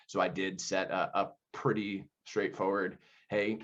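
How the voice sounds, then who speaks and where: A man's voice: low-pitched, level tone, a little slow, fairly bright tone, lo-fi sound. A man answering interview questions conversationally as a director, explaining things and often posing rhetorical questions. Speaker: audio play director being interviewed.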